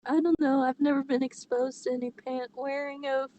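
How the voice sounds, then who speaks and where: A woman with a notably high voice co-hosts a comedy podcast. She chats casually, with a teasing, giggly way of talking. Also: level tone, normal speed, dark tone, dry recording, muffled recording. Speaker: podcast co-host.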